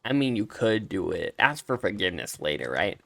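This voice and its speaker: Lively chatty man doing game commentary. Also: fairly low pitch, a little fast, gravelly, dry recording. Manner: chatty, reacts aloud to the game. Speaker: let's play streamer. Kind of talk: casual game commentary mixed with reading dialogue aloud.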